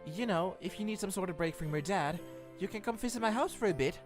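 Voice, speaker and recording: A man's voice: high-pitched, fast, over music, close to an everyday voice. A young man playing a kindly, eager, slightly awkward college student in a fan audio drama, earnest and friendly. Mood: sympathetic. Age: young adult.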